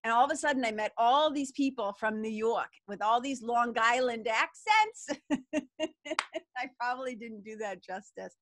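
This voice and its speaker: A chatty, enthusiastic middle-aged woman with a thick Boston accent greets her webinar audience. Her voice sits high and bright. The sound is dry and close, as if from a computer mic on a video call. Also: moderate pace, no echo. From Massachusetts. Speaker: webinar co-host. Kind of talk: live webinar, greeting viewers in the chat.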